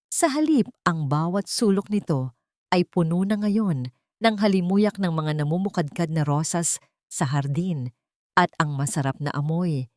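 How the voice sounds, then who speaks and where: Woman narrating drama in a low, dry voice. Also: a little mellow, dry recording. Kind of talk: scripted dramatic story narration.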